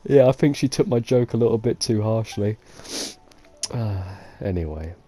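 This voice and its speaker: A woman's voice: extremely low pitch, expressive, moderate pace, warm tone, soft background music. A woman gamer giving casual, joking commentary while playing, swearing freely and laughing often. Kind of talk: casual let's-play commentary.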